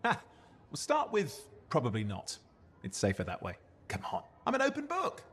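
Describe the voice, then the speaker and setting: A man's voice: a little high, wildly swooping pitch, moderate pace, fairly bright tone, slightly rough, exaggerated voice. An actor playing a cheeky, playful young man in a video game, with a teasing, flippant manner that covers old hurt. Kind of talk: video game cutscene acting.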